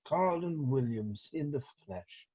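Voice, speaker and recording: A slow, husky old man, acted by a man at a table read, weary and defiant. He is dry and understated. The recording is muffled and lo-fi, with no room sound. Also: fairly high pitch, lively intonation, dry recording, exaggerated voice. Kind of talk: amateur script table read.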